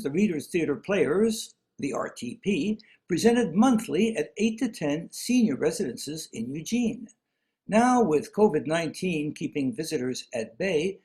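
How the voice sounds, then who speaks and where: A man's voice: on the high side, darkish tone. A man serving as master of ceremonies, giving genial, announcer-like scripted introductions over a video call.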